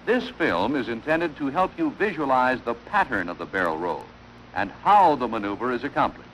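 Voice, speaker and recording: A man delivering formal, measured instructional narration in the style of a wartime training film, on an old film soundtrack. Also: medium pitch, sing-song, a bit bright, a little hiss or hum, low-quality recording.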